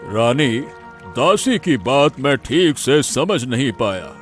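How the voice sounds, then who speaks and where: A man's voice: mid-range voice, very animated, slow, faint music behind. A man voicing a stubborn, evasive royal in a cartoon story, with a dismissive, insistent manner. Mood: puzzled, stubborn. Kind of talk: animated moral story dramatization.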